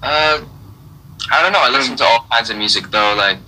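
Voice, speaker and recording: A young man answering interview questions on a video call, relaxed and hesitant with many fillers. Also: mid-range voice, a little fast, a little hiss or hum, low-quality recording. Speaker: guest musician. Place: indoor room.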